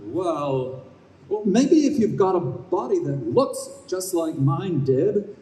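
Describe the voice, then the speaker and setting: Casting: male actor as stubborn old army dad, blustering, highish mellow voice, echoey stage radio play. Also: big pitch swings, slow. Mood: exasperated. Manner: blustering, insistent. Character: stubborn, blustery old ex-drill-sergeant father, newly dead. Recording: echoey live stage, distant microphone.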